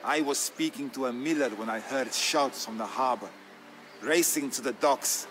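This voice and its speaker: A male actor plays a solemn Byzantine Greek man recounting his family's wars. His voice sits low, and he speaks in a measured, theatrical manner, with weighty gravity. It is scripted storyline narration for a strategy game, set over clearly audible music. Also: close to an everyday voice. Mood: weary, reflective.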